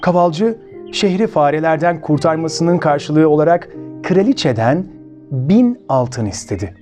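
Animated Turkish man telling children's stories. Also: fairly high pitch, measured pace, soft background music. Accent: Turkey Turkish. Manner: expressive, addresses listeners as friends. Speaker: children's storytelling show host. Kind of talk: children's storytelling with direct questions to listeners.

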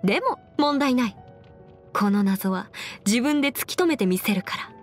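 A woman voicing a confident, brisk young half-immortal lawyer in an anime game, with crisp, formal phrasing and music behind her. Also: fairly high pitch, animated, close to an everyday voice. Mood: indignant, determined. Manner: crisp, self-assured, formal mannish phrasing. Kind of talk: scripted anime game character voice lines. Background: background music.

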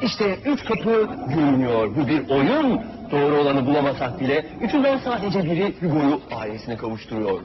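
A young man hosting a TV game show, commentating live in an excitable, encouraging way. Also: fairly high pitch, moderate pace, few pauses, noisy background. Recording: dull TV audio, loud game music. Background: cartoon game music.

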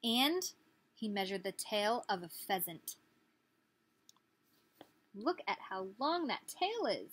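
A woman's voice: mid-range voice, big pitch swings, slow, long pauses, fairly bright tone, slightly rough. A woman in her thirties reading a picture book aloud as a teacher, expressively, putting questions to small children. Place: small living room, indoors.

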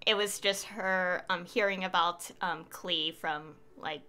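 Bright-voiced young woman streaming. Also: fairly low pitch, fairly even intonation, slow pace. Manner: giggly, rambling. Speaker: game streamer. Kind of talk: casual livestream commentary.

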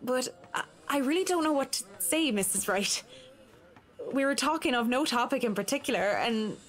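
Polite, reserved professional woman, fairly high-voiced. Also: lively intonation. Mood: uneasy. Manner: polite, formal, measured. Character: reserved, earnest professional woman interior designer. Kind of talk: visual novel voice acting.

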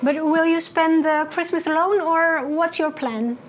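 A woman's voice: high voice, a little slow, slight background noise. A woman co-hosting a studio show, asking guests questions with some hesitant fillers. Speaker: co-host. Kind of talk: studio interview.

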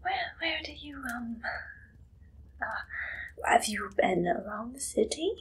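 A young woman playing an eager, shifty Victorian pie-shop keeper in an ASMR roleplay, breathy and whispery, halting and coyly secretive. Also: fairly high pitch, sing-song, full character voice. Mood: nervously chatty. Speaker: ASMR performer. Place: small dim indoor room.

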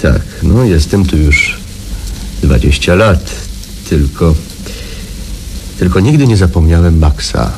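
An actor playing a hardened, middle-aged wilderness guide with an old grudge, speaking in a measured, halting way for a radio drama. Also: male voice, sits low, sing-song, darkish tone, slight background noise, full character voice. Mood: quiet bitterness. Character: hardened wilderness guide, quietly vengeful former robber. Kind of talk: Polish radio drama acting.

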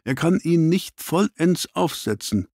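A man reading an audiobook, voicing an analytical, ironic gentleman detective with precise, clipped statements. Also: fairly high pitch, lively intonation, measured pace, dry recording, studio quality, voice pushed up.

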